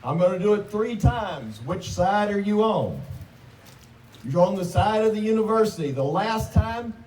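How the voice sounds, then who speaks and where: A man, a state representative and iron worker, giving a rally speech and leading a loud call-and-response chant. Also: lively intonation, moderate pace, roomy sound, recorded from a distance, slight background noise, low-quality recording. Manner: loud and booming, calls out to the crowd.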